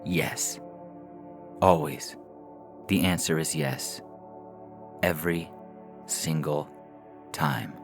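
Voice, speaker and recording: Really deep voiced guy reading a sci-fi story, lots of gravel, music playing behind him. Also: extremely slow, pauses often. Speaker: podcast narrator reading science fiction stories. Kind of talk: scripted story narration.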